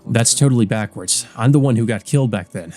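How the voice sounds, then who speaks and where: A man voicing an earnest, resolute teenage boy from a visual novel, measured and determined. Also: mid-range voice, darkish tone, soft background music, close to an everyday voice. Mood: puzzled. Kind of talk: visual novel character reading.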